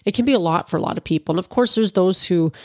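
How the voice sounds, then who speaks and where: A woman's voice: lowish voice, quick pace, no echo, studio quality. A woman from Canada, a bit stuffy from allergies, chatting casually and rambling to her viewers about cooking. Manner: rambling, informal, with fillers and asides. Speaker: cannabis cooking vlogger.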